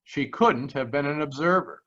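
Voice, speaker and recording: A man telling a detective case in the first person, in measured 1940s radio drama narration, recorded on a home mic over a video call. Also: a little high, a bit bright, lo-fi sound. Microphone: home microphone on a video call.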